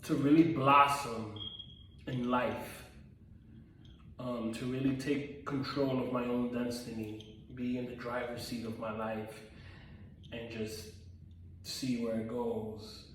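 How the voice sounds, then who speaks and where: A man's voice: medium pitch, flat delivery, takes it slowly, fairly bright tone, recorded from a distance, a little hiss or hum. A man in his thirties giving a personal testimony vlog, earnest and repetitive, with frequent ums and self-corrections. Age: 30s. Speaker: vlogger. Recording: very echoey room, voice away from the mic.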